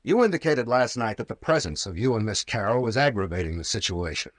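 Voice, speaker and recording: An actor playing a tough, stern private investigator in an old-time radio drama, curt and commanding. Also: male voice, fairly low pitch, lively intonation, quick pace, no room sound, clean studio sound. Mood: stern, firm. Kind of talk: 1940s radio acting.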